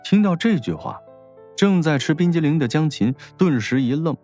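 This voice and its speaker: A man's voice: fairly high pitch, big pitch swings, normal speed, warm tone, faint music behind. A man narrating a scripted audiobook story in a wry storytelling manner.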